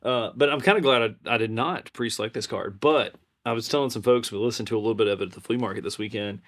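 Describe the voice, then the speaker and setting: A man's voice: medium pitch, fast, a bit bright. A man co-hosting a podcast, chatting casually in a conversational, unscripted way. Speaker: podcast co-host. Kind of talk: casual chat.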